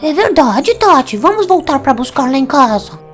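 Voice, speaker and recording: A performer with a Brazilian accent voicing a small, excitable, whiny companion of a young witch in a children's cartoon, squeaky and childlike. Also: moderate pace, soft background music. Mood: reluctant.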